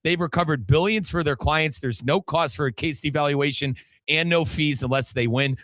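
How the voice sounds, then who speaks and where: Bright-voiced man hosting sports talk. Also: fairly high pitch, moderate pace, no room sound. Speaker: sports radio show host and football play-by-play commentator. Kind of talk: animated sports talk with sponsor reads.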